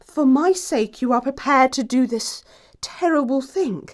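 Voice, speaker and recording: Woman playing a high-voiced, lofty society girl. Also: lively intonation, measured pace. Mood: admiring, proud. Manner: lofty, poised, formal. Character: self-assured, high-minded young society lady. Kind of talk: theatrical stage comedy acting.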